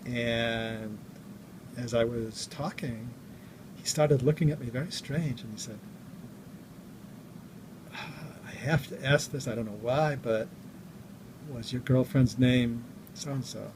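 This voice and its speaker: A man recounts a personal story slowly and reflectively, often stopping and restarting his sentences. His pitch is in an ordinary middle range. A clear hiss of background noise runs under the whole recording. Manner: halting, with many restarts and trailing pauses. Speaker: vlogger.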